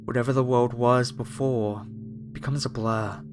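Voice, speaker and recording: A man's voice: medium pitch, steady tone, unhurried, darkish tone, natural voice. A man playing a polite, apologetic masked cultist, a former music director, in a horror ASMR roleplay addressed to the listener. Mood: polite menace.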